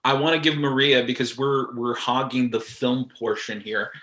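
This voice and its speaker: A man's voice: medium pitch, steady tone, fairly bright tone. Articulate male moderator in an echoey room. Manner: articulate, quick, inviting. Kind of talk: panel discussion.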